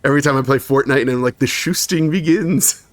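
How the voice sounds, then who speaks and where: A man's voice: medium pitch, brisk, dry recording. A man co-hosting a podcast in casual chat, reading long lists of credits with frequent laughs and fillers. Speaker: podcast co-host.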